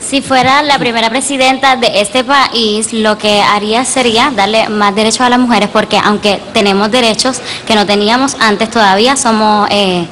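A woman in her twenties from Puerto Rico, a pageant contestant giving an earnest, measured answer. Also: on the high side, steady tone, fairly bright tone. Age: 20s.

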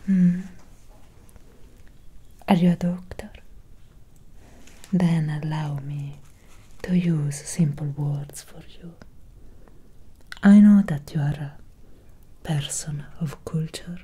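Woman acting as a polite but slightly menacing victorian vampire lady doctor, breathy asmr whisper, really dark soft tone. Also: low voice, full character voice. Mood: soothing, condescending. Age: young adult. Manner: slow, deliberate, formal. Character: courteous, faintly menacing female vampire doctor of Victorian era. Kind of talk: ASMR roleplay. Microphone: close sensitive microphone.

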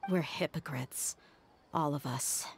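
A woman playing a tough, frank young mercenary in a video game, speaking in an earnest, introspective and measured way. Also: sits low, a little hiss or hum. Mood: bitter, rueful.

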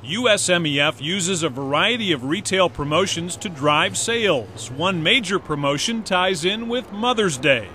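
A man reading a scripted corporate narration in a measured, polished way. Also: sits high, lively intonation, bright, forceful tone, a little hiss or hum.

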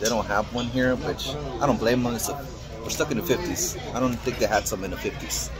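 A man's voice: mid-range voice, a little fast. A man in his thirties filming a casual food vlog, chatty and upbeat as he narrates, recorded on a handheld camera in a small diner. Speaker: vlogger. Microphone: handheld camera at arm's length. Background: music playing.

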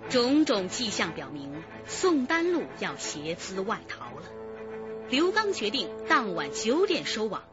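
A woman's voice: medium pitch, huge pitch swings, a little slow, highs cut off, music in the background. A woman narrating a radio drama in a scripted, dramatic style.

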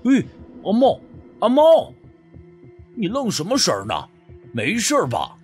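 A man's voice: sits high, huge pitch swings, unhurried, a little mellow, soft background music, voice pushed up, exaggerated voice. A man voicing a timid, flustered young male sidekick who stammers and exclaims in an audio story. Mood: nervous.

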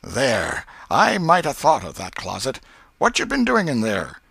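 A man voicing an exasperated but soft-hearted old country aunt in an audiobook, with a rural Southern American accent and folksy, fretful scolding. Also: mid-range voice, wildly swooping pitch, measured pace, creaky, slightly rough, no echo, pitched above the performer's own voice, heavily acted. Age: older. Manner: folksy scolding and fretting, dropped g's. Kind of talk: audiobook character voice.

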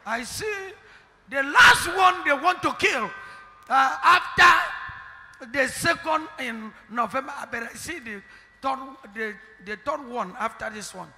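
A man with a Nigerian accent, a prophet preaching into a handheld mic in a large church hall, declaring and shouting in bursts. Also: very high voice, lively intonation, slow, gravelly, slight background noise. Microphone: handheld microphone. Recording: long hall reverb, music behind.

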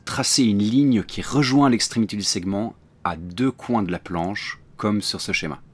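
A man narrating a do-it-yourself building tutorial in a relaxed, friendly voice-over, slipping slang into his step-by-step instructions. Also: lowish voice. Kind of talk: casual DIY tutorial voice-over. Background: faint music.

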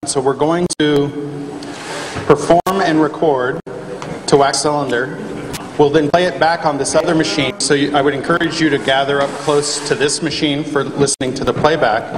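A man's voice: a little high, steady tone, moderate pace, noisy background. A man speaking as host of an event, explaining things to an audience in an auditorium. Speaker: host, professor. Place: large auditorium stage. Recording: echoey hall with music behind.

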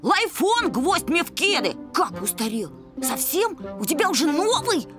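Excitable high-pitched cartoon boy. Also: a little slow, over music. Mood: agitated. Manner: whiny, pleading, emphatic. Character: excitable, boastful, pleading young boy, football fan. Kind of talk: animated cartoon voice acting.

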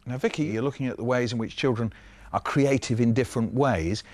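A man's voice: mid-range voice, brisk, a crowd in the background. A man in his sixties with an English accent hosting a panel discussion in a conversational, questioning way. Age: 60s. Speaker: host.

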